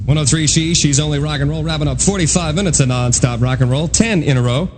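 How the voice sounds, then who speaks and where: Higher-voiced male 1980s rock radio DJ. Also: quick pace. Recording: 1980s radio aircheck, music underneath. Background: music.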